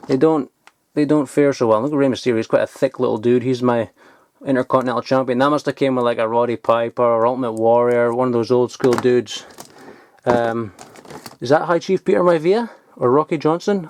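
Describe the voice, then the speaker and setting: A man, chatting casually and excitedly while digging through old toys, rambling and swearing now and then. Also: medium pitch, a little hiss or hum. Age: 20s. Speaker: toy collector vlogger. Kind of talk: casual unboxing chat.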